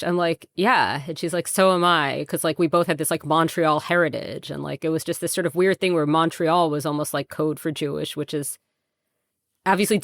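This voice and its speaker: A woman's voice: sits low, fairly bright tone, dry recording, studio quality. A woman in her thirties hosting a casual interview, chatty and full of "like" and "you know.". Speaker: interviewer, podcast host.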